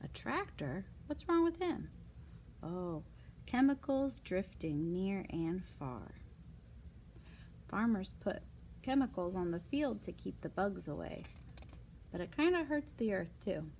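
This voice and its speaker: A woman with a medium-pitched voice reads children's non-fiction aloud. She breaks up the reading with casual asides and simple questions aimed at young listeners. There is some noise behind her voice. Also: very animated, slow, pauses often, darkish tone. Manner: simple child-directed explanations and rhetorical questions.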